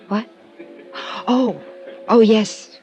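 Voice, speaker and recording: A woman's voice: on the high side, drawn-out words, mellow. Guarded, troubled woman, music behind. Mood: grateful, guarded. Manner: polite, hesitant, guarded. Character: troubled, soft-spoken, grateful mysterious woman with a secret. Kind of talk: 1950s radio drama acting.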